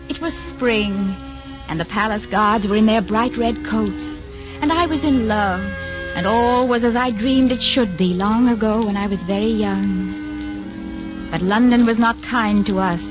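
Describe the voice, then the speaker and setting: A woman's voice: mid-range voice, natural voice. An actress playing a young, passionate aristocratic lady, earnest and refined, in an old-time radio drama. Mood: wistful. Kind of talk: 1940s-50s radio drama acting. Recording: muffled old radio recording with music.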